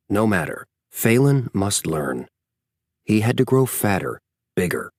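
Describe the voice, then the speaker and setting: Man, low voice, audiobook narration, measured, expressive storytelling, dry close recording. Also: no room sound.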